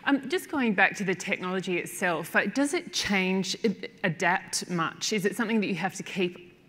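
A woman in her forties with an Australian accent, calmly moderating an on-stage conversation. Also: mid-range voice, normal speed. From Perth, Western Australia. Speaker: host, moderator. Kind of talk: on-stage interview. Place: large hall on stage before an audience. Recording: long hall reverb, faint noise.

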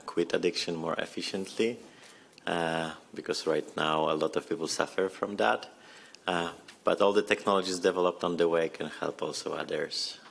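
A man's voice: low voice, gravelly, a bit bright. A man in his thirties with a Polish accent, a scientist introducing himself hesitantly on a conference panel, speaking into a table microphone. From Poland. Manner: hesitant, many fillers. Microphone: table mic on stand.

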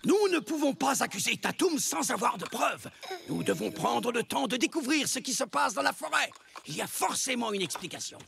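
Very creaky, high-voiced village elder. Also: male voice, big pitch swings, moderate pace, full character voice. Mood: grave, measured. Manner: solemn, declamatory. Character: old village elder, grave and fair-minded. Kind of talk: French animated cartoon dub acting. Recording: crowd murmur behind.

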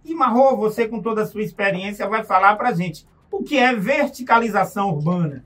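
A man's voice: high-pitched, animated, fairly quick, fairly bright tone, recorded from a distance, soft background music. A man hosting a radio interview, asking upbeat leading questions in a studio. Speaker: radio show host.

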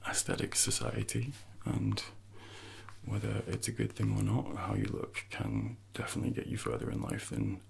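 A man in his thirties speaking softly and gently in an ASMR personal trainer role-play, close to the microphone with unhurried, hesitant pauses. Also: sing-song. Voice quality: soft, breathy, gravelly low voice.

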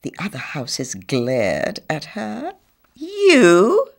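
A woman reading a children's storybook aloud as an expressive storyteller. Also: low voice, measured pace, breathy edge.

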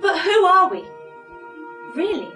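An earnest, questioning young woman played by an actress with a very high voice. She performs stage drama, distant in an echoey theatre. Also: sing-song, takes it slowly, pushed and bright, faint music behind, exaggerated voice. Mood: searching. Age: young adult. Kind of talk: stage play acting.

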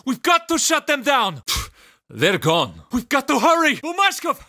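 Forceful, high-pitched survivor. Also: male voice, huge pitch swings, a little slow, heavily acted. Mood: urgent. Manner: urgent, terse. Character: tense, cynical Russian man, a zombie-apocalypse survivor. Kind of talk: video game voice lines.

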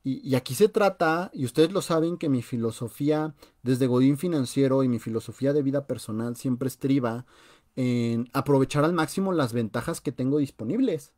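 A man's voice: mid-range voice, normal speed, darkish tone. A young man with a Mexican Spanish accent, hosting a live-streamed personal finance talk from a home room over a webcam, conversational and emphatic, often saying "o sea". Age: young adult. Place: small room at home, indoors. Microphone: webcam on a video call.

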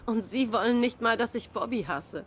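A woman's voice: fairly high pitch, normal speed, slight background noise, close to an everyday voice. An actress playing a grieving young cat woman in a noir game, guarded, bitter and sardonic in a subdued way. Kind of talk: noir game dialogue acting.

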